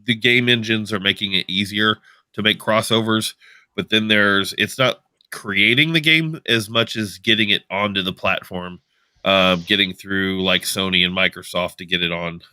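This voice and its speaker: Very bright, forceful low-voiced man. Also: steady tone, moderate pace, clear, resonant tone, dry recording. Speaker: podcast panelist and game developer. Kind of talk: casual livestream chat.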